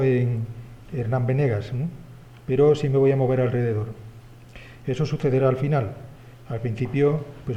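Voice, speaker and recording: Measured Spanish man giving a lecture. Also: medium pitch, mellow, muffled recording, slight background noise. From Spain. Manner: measured, with frequent "eh" pauses and tag questions. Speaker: historian giving a conference talk. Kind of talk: academic lecture. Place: university conference hall.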